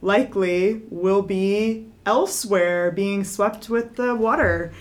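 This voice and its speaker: A woman's voice: mid-range voice, takes it slowly. A woman hosting a podcast interview, listening closely with short "right" and "mm-hmm" replies and asking long, thoughtful questions. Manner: attentive, frequent short backchannels like "right" and "mm-hmm". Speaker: podcast host. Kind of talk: casual interview conversation.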